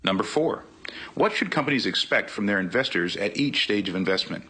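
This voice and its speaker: A man's voice: on the low side, animated, brisk, bright, forceful tone, breathy edge. A man reading questions aloud from a book as a guest reader. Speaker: guest reader, nonprofit executive.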